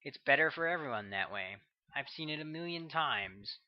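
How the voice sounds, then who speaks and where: A man's voice: on the high side, lively intonation, normal speed, voice pushed up, lightly acted. A man voicing a cynical female federal agent who speaks in a dry, knowing, matter-of-fact way, on a slightly muffled audiobook recording.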